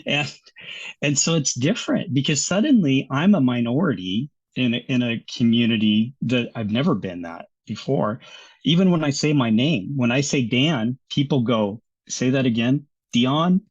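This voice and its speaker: An American man talking as a guest in a casual interview, easygoing and quick to laugh, often restarting his sentences. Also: mid-range voice, lively intonation, husky, lo-fi sound. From United States.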